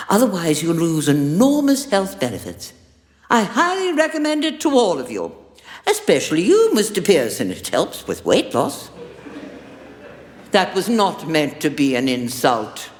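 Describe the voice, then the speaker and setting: Pompous chancellor, echoing hall. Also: female voice, low voice, darkish tone, heavily acted. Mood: fussy, condescending. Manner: pompous, theatrical. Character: haughty, imperious female chancellor, fussy and condescending. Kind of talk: audio drama acting. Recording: echoey hall with long reverb.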